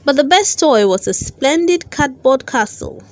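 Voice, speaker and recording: A woman narrating a children's storybook aloud, with careful, expressive storytelling. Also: high voice, measured pace, no echo. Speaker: storyteller, channel host.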